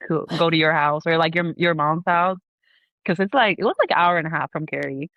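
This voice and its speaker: A woman's voice: deep voice, brisk, dry recording, dull audio. A young woman from the south of Sweden, a podcast guest who speaks English with a Swedish accent. She chats casually, says "like" constantly and swears freely. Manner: chatty, fills every sentence with "like", swears freely. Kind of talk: casual chat. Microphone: remote call.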